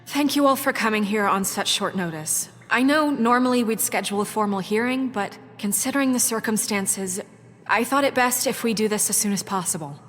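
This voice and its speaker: An actress playing a principled, authoritative town official in a video game, with a firm, measured delivery. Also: female voice, mid-range voice, fairly bright tone, lightly acted. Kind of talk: dramatic video game voice acting. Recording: reverberant hall, music underneath.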